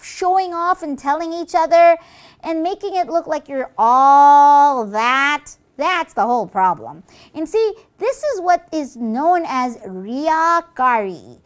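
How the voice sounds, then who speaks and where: A cocky little devil minion from a puppet show, acted out by a woman, high and wildly swooping in pitch. It taunts and brags, dismissive of everyone, stretching out its words with relish. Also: exaggerated voice. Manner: mocking, dismissive, gleefully boastful.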